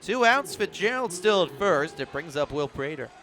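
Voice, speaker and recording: High-pitched American man calling play-by-play. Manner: measured delivery rising to excited calls. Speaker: play-by-play sports announcer. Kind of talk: sports radio and TV play-by-play commentary.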